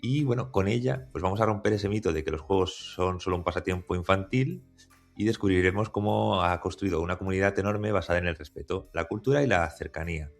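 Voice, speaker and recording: A man's voice: low voice, fairly quick, vocal fry, faint music behind. A man hosting a conversational podcast interview, talking with many hesitations and restarts. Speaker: podcast host.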